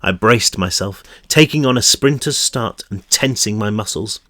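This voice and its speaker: A man with a croaky, fading voice reading his own novel aloud in a steady storytelling manner, with chatty asides. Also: on the low side, moderate pace, no room sound. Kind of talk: audiobook-style read-through.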